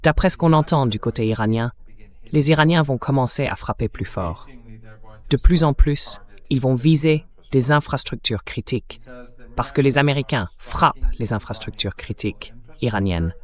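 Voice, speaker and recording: A male analyst explains politics in a French voice-over at a medium pitch. He is emphatic, repeating phrases to stress his points. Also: moderate pace. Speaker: professor, political analyst guest.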